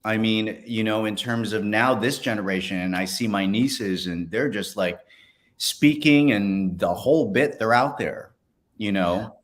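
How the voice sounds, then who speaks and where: A man's voice: lowish voice, a little slow. A man interviewing in a casual, personal way, effusive and encouraging, often restarting his phrases as he goes.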